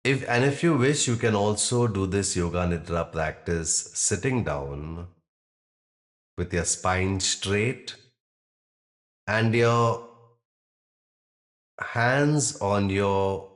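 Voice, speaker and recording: A man guiding a yoga nidra relaxation in a calm, measured manner, leaving long pauses between short phrases. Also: low voice. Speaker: yoga nidra meditation guide. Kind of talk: guided relaxation meditation.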